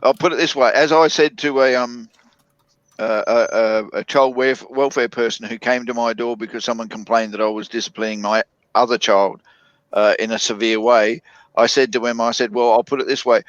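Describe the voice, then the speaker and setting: A man talks in a relaxed group conversation, often stopping to restart his sentences. His voice sounds a little dull and close, with no room around it. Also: medium pitch, dry recording. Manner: halting, with many restarts and fillers. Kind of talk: casual group chat.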